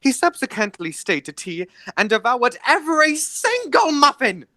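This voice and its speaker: A man playing an indignant, stubborn gentleman guardian in an amateur radio play, formal and emphatic in his delivery. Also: very high voice, wildly swooping pitch, normal speed, no echo, studio quality, heavily acted.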